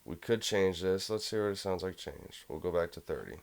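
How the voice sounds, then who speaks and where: A young man with a low voice casually walking through a home music production tutorial, rambling a bit with fillers. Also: lively intonation, brisk, creaky. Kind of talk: casual screen-recorded tutorial.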